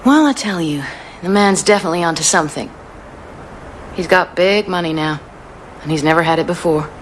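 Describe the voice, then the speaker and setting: A woman's voice: on the low side, a little fast, slight background noise, close to an everyday voice. An actress playing a tough, laconic female mercenary in a radio drama, with music and chimes behind her. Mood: cool, knowing. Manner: cool, laconic, streetwise.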